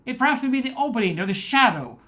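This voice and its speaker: A woman's voice: on the high side, big pitch swings, quick pace, fairly bright tone. An older woman presenting a nostalgic radio show with scripted narration.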